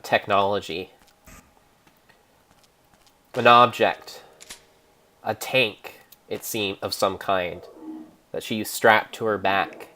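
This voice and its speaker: A man narrating an improvised story in a theatrical, measured way, pausing between phrases. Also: lowish voice, sharp tone, a little hiss or hum. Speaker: streamer, narrator.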